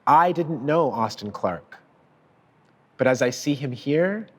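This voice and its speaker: A man reading a prepared tribute in a measured, deliberate and formal way. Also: mid-range voice, lively intonation, breathy edge. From child of parents from Sierra Leone. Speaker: author giving a tribute. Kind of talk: prepared speech read aloud.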